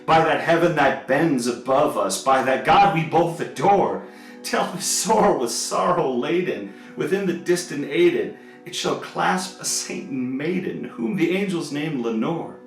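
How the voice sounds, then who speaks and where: A man's voice: fairly high pitch, animated, measured pace, pitched above the performer's own voice. A man performs a tormented, grieving gothic figure, pleading and raging in a declamatory, theatrical way. His voice climbs well above a middle register in a roomy recording. Faint music plays behind the poetry recitation. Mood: desperate pleading.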